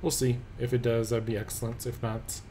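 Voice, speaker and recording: A man narrating gameplay as a let's play host, relaxed and thinking aloud with many pauses and ums. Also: mid-range voice, slight background noise. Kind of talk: casual game commentary. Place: at a computer, voice-over of gameplay.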